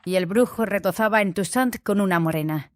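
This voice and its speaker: A woman's voice: mid-range voice, brisk, no echo, close to an everyday voice. A woman playing an inquisitive, defiant young adept in an audiobook drama, earnest and questioning. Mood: reproachful.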